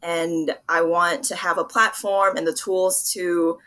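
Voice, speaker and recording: Bright, lowish-voiced young woman being interviewed. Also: level tone, slow. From Vietnam. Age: young adult. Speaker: interview guest, public relations professional. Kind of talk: conversational interview answers.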